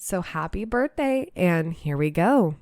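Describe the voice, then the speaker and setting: Woman, podcast host, slightly nasal, lowish voice, dry close mic. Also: very animated, a little slow, a little mellow, dry recording. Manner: laughs easily, chatty and upbeat. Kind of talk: casual podcast chat and reading aloud.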